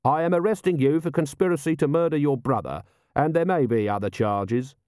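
A man voicing a gruff, sardonic middle-aged watch commander in an audiobook. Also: on the high side, a little fast, a little mellow, no room sound, clean studio sound, pitched above the performer's own voice, close to an everyday voice. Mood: defiant, official. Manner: blunt, sardonic.

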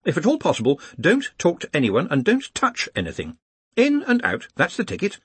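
A man's voice: high-pitched, wildly swooping pitch, moderate pace, fairly bright tone, breathy edge, no room sound, pitched above the performer's own voice, heavily acted. A man voicing a harried, orderly wizard organiser, explaining things in an earnest, officious and urgent way. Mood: brisk, officious.

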